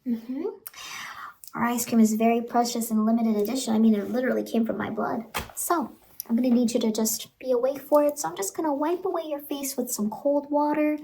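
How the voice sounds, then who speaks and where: Creepy female ice cream clown woman performer, high and soft, cajoling, falsely sweet reassurance; close-miked horror ASMR, noisy background. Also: fairly even intonation, a little fast, creaky. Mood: falsely reassuring. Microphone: close ASMR microphone.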